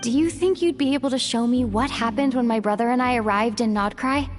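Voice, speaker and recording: A woman playing an earnest, gentle young traveler heroine in a video game, thoughtful and a little hesitant. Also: a little high, brisk, lightly acted. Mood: earnest request. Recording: loud background music.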